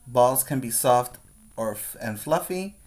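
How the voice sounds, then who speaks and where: A man teaching preschoolers, reading a picture book aloud with deliberate, instructional phrasing and short explanatory asides. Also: mid-range voice, expressive, darkish tone. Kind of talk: read-aloud for young children with explanatory asides.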